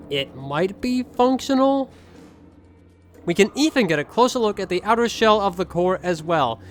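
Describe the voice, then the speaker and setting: A man's voice: high-pitched, noisy background. A man hosting a gaming video with playful, joking commentary and a theatrical streak.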